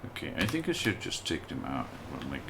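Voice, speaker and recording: A man with a deep, low voice talks through his gameplay in a relaxed, unhurried way, chatting with viewers between moves. Steady noise sits clearly behind him. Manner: relaxed, thinks aloud and chats with viewers while playing. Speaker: livestreamer playing a video game. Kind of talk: casual let's-play commentary.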